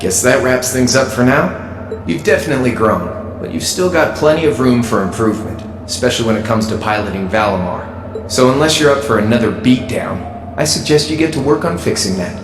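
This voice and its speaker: A cocky young game rival, played by a man, blunt and informal at a middling pitch. The sound is echoey, with music behind. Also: animated, a little fast, natural voice. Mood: smug advice. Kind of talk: English video game cutscene acting.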